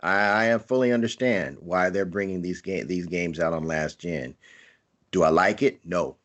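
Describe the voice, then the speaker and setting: Male co-host, lower voice, calm and reasoned in casual podcast talk. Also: moderate pace. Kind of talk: casual chat.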